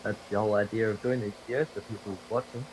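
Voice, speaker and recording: A young man with a cold and a hoarse, fading voice, rambling profanely and mocking himself while commentating a driving game. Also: on the low side, fairly even intonation, quick pace, noisy background. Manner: profane, rambling, self-deprecating. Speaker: gaming video creator. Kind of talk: casual gameplay commentary. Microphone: desktop microphone.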